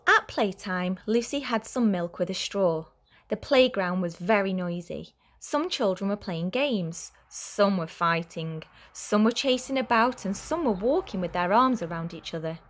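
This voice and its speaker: A woman reads a children's storybook aloud. Her voice sits at a middle pitch, and she tells the tale clearly and expressively, like a teacher at story time with young children. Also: lively intonation, measured pace.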